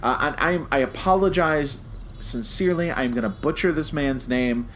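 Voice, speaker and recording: A man's voice: a little high, moderate pace, a bit bright, slight background noise. A man co-hosting a podcast, giving an opinionated review in an emphatic way, repeating phrases to drive points home. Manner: emphatic, repeats phrases for stress, checks with "okay?". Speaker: podcast co-host.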